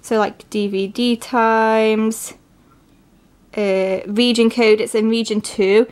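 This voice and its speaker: A woman from England with a stuffy, congested voice from a cold, chatting excitedly through an unboxing with lots of fillers. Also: fairly high pitch, slow pace, clean tone. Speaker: vlogger. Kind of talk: casual unboxing narration. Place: at home, at a table.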